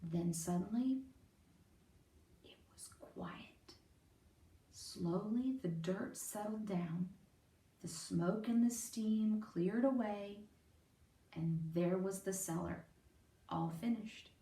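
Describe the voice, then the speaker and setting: A woman reading a children's picture book aloud in an expressive, emphatic way. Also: medium pitch, slow, pauses often. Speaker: storyteller. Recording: roomy, distant microphone.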